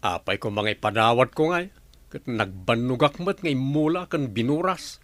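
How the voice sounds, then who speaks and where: An actor playing a tight-fisted, argumentative husband and dutiful son in an Ilocano radio drama. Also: male voice, medium pitch, expressive, normal speed, a bit bright, no room sound, natural voice. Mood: brusque, haggling.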